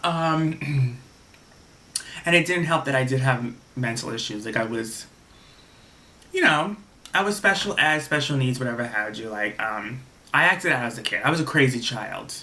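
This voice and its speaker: A man's voice: medium pitch, animated, measured pace, pauses often, sharp tone. A young man from Staten Island, telling a rambling casual story to camera and restarting his sentences often. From Staten Island, New York. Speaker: vlogger.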